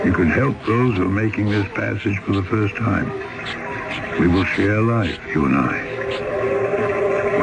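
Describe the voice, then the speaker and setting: An actor playing a blunt, earnest male river boatman in a radio drama, first scolding and then coaxing. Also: on the low side. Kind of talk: 1970s radio drama acting. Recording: dull old radio broadcast. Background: music score.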